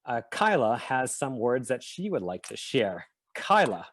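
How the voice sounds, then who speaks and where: Man host for an online panel, mid-pitched, earnest, measured delivery. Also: very animated, fairly bright tone. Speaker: event host.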